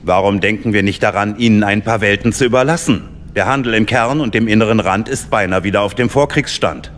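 A man plays an impatient, pragmatic senator in a radio drama. His voice is low and carries a long hall reverb. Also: a little fast, fairly bright tone, slight background noise, natural voice. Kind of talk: German radio drama acting.

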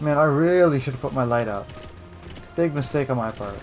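A man's voice: mid-range voice, animated, fairly quick, a little mellow, soft background music. Casual young man muttering over gameplay. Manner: casual, muttering and exasperated at enemies. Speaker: gamer commentating a playthrough.

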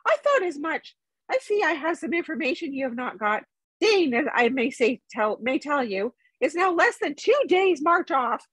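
A woman reading a storybook, playing a small, fussy, polite male hobbit, nervous but plucky, with a squeaky voice and chatty, hedging manner. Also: fairly bright tone, husky, no echo, full character voice, low-quality recording.

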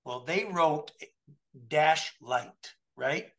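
Emphatic man coaching, bright voice. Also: on the high side, very slow. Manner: emphatic, keeps checking in with "right?". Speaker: trucking compliance coach. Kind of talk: coaching review over a video call. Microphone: webcam video call.

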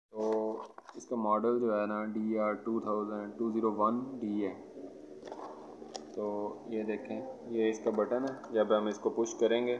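A muffled, lo-fi recording with faint noise behind it. A man talks in a fairly low voice, walking through a practical tutorial step by step in an informal way. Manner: informal step-by-step, repeatedly checking with "okay". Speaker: electrician presenter. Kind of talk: hands-on tutorial explanation. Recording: dull lo-fi phone audio, background noise.

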